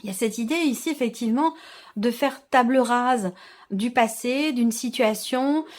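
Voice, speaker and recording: Female tarot reader, fairly high voice, unscripted and searching delivery that circles back to rephrase. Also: moderate pace, a little mellow. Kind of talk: unscripted tarot reading addressed to viewers.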